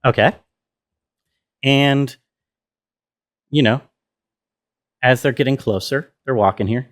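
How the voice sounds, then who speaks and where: A man walks through sound design in an informal tutorial style. His voice sits in a middle register. He speaks haltingly, piecing his explanation together as he goes. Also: mid-range voice. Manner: halting, thinking aloud. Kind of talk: casual tutorial walkthrough.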